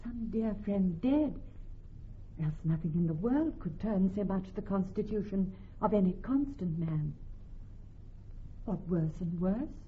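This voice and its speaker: A woman's voice: mid-range voice, animated, a little slow, natural voice. An actress playing a devoted, decisive young noblewoman in a classical radio drama, speaking formal verse. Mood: anxious concern. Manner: formal, eloquent verse delivery. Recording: tinny, band-limited vintage radio, music behind.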